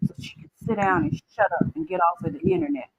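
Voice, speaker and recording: A woman's voice: very high-pitched, fast talker, vocal fry, very noisy. A woman with a lisp and chatting casually, rambling and repeating words as she starts a sentence. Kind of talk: casual chat.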